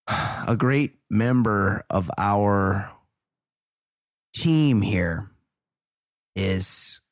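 A man's voice: lowish voice, dry recording. Wisecracking man, dry close sound. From Costa Mesa, California. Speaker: podcast co-host. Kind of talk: casual banter.

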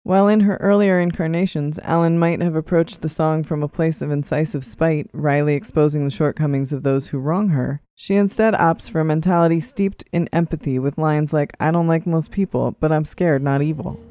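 A narrator reading a scripted album review in a measured, written style. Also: mid-range voice, steady tone, mellow.

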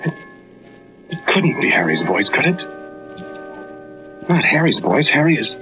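An actor playing a haunted man who narrates an eerie tale in a 1940s radio drama, with slow, dramatic, deliberate delivery. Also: fairly high pitch, very animated, dull audio. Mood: startled, uneasy. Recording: band-limited 1940s radio recording, music behind.

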